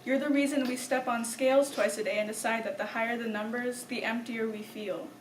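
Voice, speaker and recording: A young woman in her late teens reads a slam poem aloud with earnest, rhythmic spoken-word delivery, her voice pitched a little high. She was taped from across an echoey room, with a faint hiss underneath. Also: steady tone, brisk, distant mic. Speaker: poet. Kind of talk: slam poetry performance. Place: room at a writing camp.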